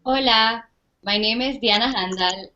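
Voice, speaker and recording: A woman from Honduras, a university student introducing herself on a panel, lively. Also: fairly high pitch, measured pace. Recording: dull, lo-fi audio.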